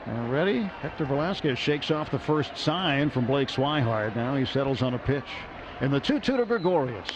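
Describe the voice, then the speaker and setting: A man calling a baseball game as the radio play-by-play announcer from a ballpark booth, with brisk, measured play calling. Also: medium pitch, very animated. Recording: muffled radio broadcast with crowd noise.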